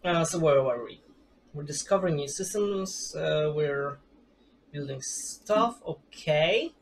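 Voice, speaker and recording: Casual male streamer, 30s, unhurried delivery, self-mocking, home desk mic. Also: fairly high pitch, animated, a little hiss or hum. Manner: rambling, chatty, self-deprecating, laughs often. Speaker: gaming streamer. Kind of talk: casual let's play commentary. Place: home room with gaming chair.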